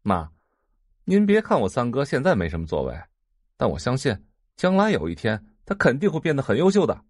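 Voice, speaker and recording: A spoiled young heir in an audio drama. He is cheeky, carefree and given to whiny pleading, with very lively pitch in a dry studio sound. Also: fairly quick, no room sound. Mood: cheerful boasting. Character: whiny, carefree young rich son, game-obsessed.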